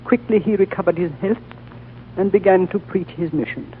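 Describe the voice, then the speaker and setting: An actor playing an ancient, frail High Lama who speaks slowly and courteously, in 1930s radio drama style. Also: male voice, natural voice. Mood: storytelling. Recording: tinny old radio broadcast, faint hiss.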